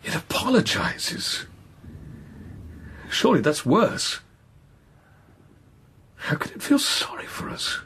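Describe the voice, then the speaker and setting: Thoughtful, doubting priest, noisy background. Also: male voice, fairly high pitch, huge pitch swings, takes it slowly, long pauses, sharp tone, heavily acted. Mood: troubled, pleading. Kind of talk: naturalistic radio drama acting.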